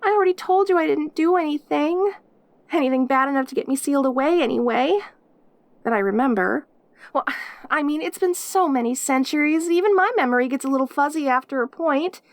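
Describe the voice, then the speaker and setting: A woman's voice: high-pitched, fairly quick, no room sound. A woman voicing a perky, lonely caged demon girl in an intimate audio roleplay, with an eager, rambling, stammering manner. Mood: pleading, defensive. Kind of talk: audio roleplay acting, addressing the listener intimately.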